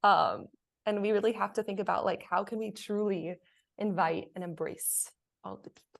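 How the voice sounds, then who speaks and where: A woman's voice: mid-range voice, fairly even intonation, normal speed, gravelly. Woman reflecting aloud in a panel chat. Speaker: panelist, theatre artist. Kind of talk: casual panel discussion.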